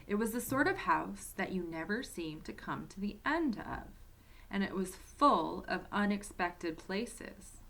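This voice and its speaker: A woman's voice: medium pitch, expressive, unhurried, creaky, fairly bright tone. A woman reading a children's story aloud as a storyteller, expressively and addressed to young listeners.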